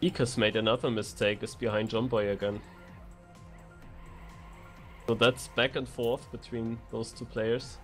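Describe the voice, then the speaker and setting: A man with an average-pitched voice co-casts an online racing game. He comments on the race in a conversational way, with music running underneath. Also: steady tone, measured pace, pauses often, a bit bright. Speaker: esports co-commentator.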